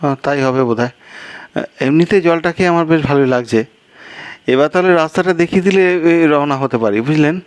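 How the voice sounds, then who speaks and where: A man's voice: on the high side, fairly quick, pauses often, clear, resonant tone, pitched above the performer's own voice, close to an everyday voice. A man voicing a weary, anxious, polite traveller in a storytelling piece, fretful, hesitant and plaintive. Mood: relieved, impatient. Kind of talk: acted storytelling dialogue.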